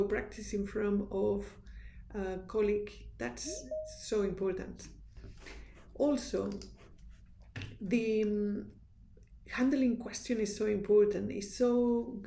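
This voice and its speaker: A woman with a strong Spanish accent gives a university lecture in an earnest, instructive way. Her voice has a gravelly fry and a mellow, relaxed tone. The room around her is echoey. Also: medium pitch. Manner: earnest, instructive, with restarts and fillers. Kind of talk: recorded lecture.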